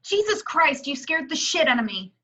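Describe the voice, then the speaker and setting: A woman playing a brash, sharp-tongued TV reporter in an amateur table reading of a film script. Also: sits high, sing-song, moderate pace, exaggerated voice. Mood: annoyed, brash. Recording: dull, muffled home recording.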